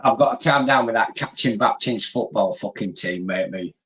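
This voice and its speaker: A man's voice: fairly low pitch, normal speed, fairly bright tone. A man, bantering in a blunt, sweary, laddish way as co-host. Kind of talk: casual banter.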